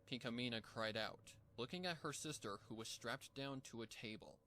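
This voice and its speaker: A man's voice: medium pitch, normal speed, pushed and bright, a little hiss or hum. A man giving a dramatic scripted narration of a horror fan fiction story. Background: music.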